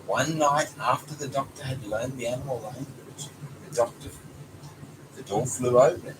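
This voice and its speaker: A man's voice: medium pitch, moderate pace. A man reading a children's storybook aloud as a father would to his child, with short asides. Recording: noisy home recording with background sounds. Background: clear ambient noise, young child nearby.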